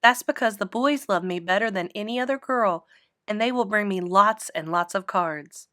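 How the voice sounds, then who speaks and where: A woman's voice: mid-range voice, moderate pace, fairly bright tone, dry recording, studio quality, natural voice. A woman voicing a prissy, boastful little rich girl, haughty and gushing, in a children's storybook.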